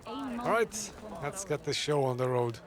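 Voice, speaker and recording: A man's voice: on the high side, very animated, a little slow, a crowd in the background. A man hosting a gaming video, giving casual off-the-cuff commentary on the story as he plays.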